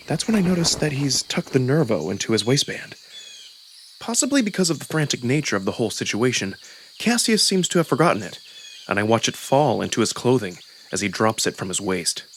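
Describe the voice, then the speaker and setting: A man giving a suspenseful, expressive first-person narration of a dramatic story. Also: mid-range voice, a little hiss or hum. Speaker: narrator and voice performer. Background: background music.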